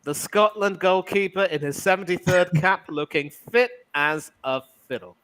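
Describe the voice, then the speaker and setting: A man with a fairly high, bright voice chats on a football watch-along. He slips easily into measured commentator patter and calls the action as if for broadcast. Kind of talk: casual chat mixed with play-by-play commentary.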